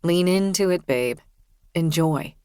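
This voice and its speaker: A woman reading a novel aloud, voicing a calm, condescending young man in a slightly lowered voice. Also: takes it slowly, smooth, no echo, studio quality. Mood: dismissive.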